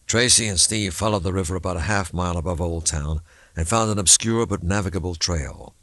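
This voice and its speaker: A man's voice: sits low, fairly quick, a bit bright, dry recording. A man reading a suspense novel as an audiobook narrator, in dramatic scripted narration.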